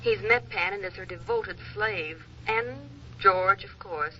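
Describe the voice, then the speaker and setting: An actress playing a brisk, teasing friend and dinner hostess on the telephone in a 1940s radio comedy. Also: female voice, mid-range voice, close to an everyday voice. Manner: breezy, teasing. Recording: dull, noisy old radio, telephone scene.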